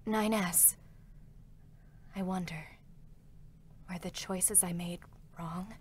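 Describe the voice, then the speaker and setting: An actress playing a grieving, intense young female combat android in a dramatic reading, hesitant and breathy with emotion. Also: lowish voice, fairly even intonation, slow pace, slight background noise. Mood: brooding guilt. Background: faint background music.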